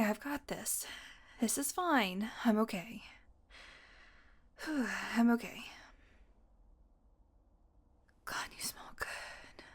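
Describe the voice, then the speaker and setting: A woman's voice: fairly high pitch, a little mellow, slightly rough, full character voice. A woman playing a sardonic, flirty female vampire in an intimate audio roleplay, talking casually and teasingly. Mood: strained, hungry, desperate.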